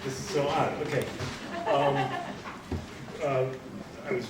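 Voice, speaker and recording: A man's voice: medium pitch, fairly even intonation, takes it slowly. A man reading poems aloud at a live event, with informal asides between them. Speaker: poetry reader. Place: indoor bookshop event in front of audience. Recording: echoey, noisy room, distant microphone.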